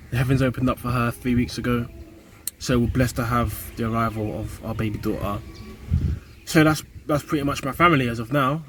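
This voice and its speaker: A man's voice: mid-range voice, a little hiss or hum. A young man with a London accent, talking to camera in a casual vlog, earnest, often repeating words as he finds them and ending points with a rising "yeah?". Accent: British, London. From United Kingdom. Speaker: vlogger. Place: home in Kenya.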